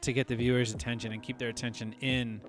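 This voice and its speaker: A man co-hosting a podcast in casual chat, talking in a rambling way with restarts and excited swings. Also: medium pitch, fast, faint music behind. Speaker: podcast co-host.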